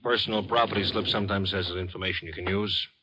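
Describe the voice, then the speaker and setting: A man's voice: low voice, fairly even intonation, quick pace, sharp tone. A man playing an obliging, seasoned American police sergeant in a 1950s radio drama, casual and matter-of-fact. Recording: dull noisy vintage radio recording.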